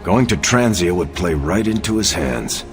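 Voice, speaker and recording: An actor playing a noble, resolute soldier superhero, earnest and commanding, in a video game cutscene. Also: male voice, low-pitched, lively intonation, moderate pace, soft background music. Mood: tense, determined.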